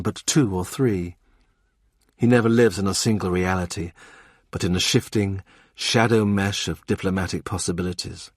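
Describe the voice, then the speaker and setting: A man narrating a historical novel in a measured, deliberate way. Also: lowish voice, dry recording, clean studio sound. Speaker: audiobook narrator. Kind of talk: scripted literary narration.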